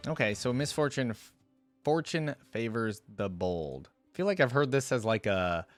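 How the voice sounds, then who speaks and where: A man's voice: medium pitch, sing-song, normal speed, no echo, soft background music. A man hosting a reaction video, giving casual, curious commentary and musing aloud.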